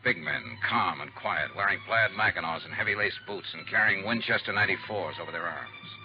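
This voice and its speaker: A man playing a steady, world-weary American investigator, narrating in a terse, measured, hard-boiled 1950s radio drama style. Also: sits low, lightly acted. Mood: grim, urgent. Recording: muffled, noisy 1950s radio recording.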